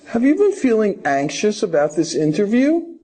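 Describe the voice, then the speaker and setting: A man's voice: sits high, big pitch swings, moderate pace, mellow, a little hiss or hum. A man playing an interviewing officer, asking formal, direct, scripted questions. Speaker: interviewing officer in a role-play.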